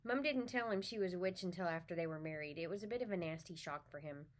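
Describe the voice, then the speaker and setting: A woman's voice: on the low side, fast, natural voice. A woman reading the part of an inquisitive young schoolboy wizard in a storybook, frank and matter-of-fact.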